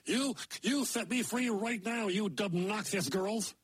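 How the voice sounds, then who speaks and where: A man voicing a rude, petulant little bearded dwarf who yells insults and threats. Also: high voice, measured pace, husky, dry recording, pitched above the performer's own voice. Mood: angry.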